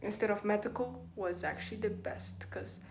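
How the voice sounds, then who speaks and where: A woman's voice: medium pitch, normal speed, gravelly, heavy background noise. A young woman with a Mongolian accent, a native Mongolian speaker, casually reading aloud and commenting in a rambling, self-correcting way as a vlogger. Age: young adult.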